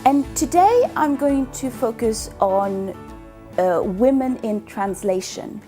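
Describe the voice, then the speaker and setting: A woman's voice: fairly high pitch, lively intonation, slow pace, mellow, soft background music. A woman from Norway, a native Norwegian speaker, talking to camera as a book blogger in a thoughtful, hesitant way as she searches for words. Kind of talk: casual vlog talk to camera.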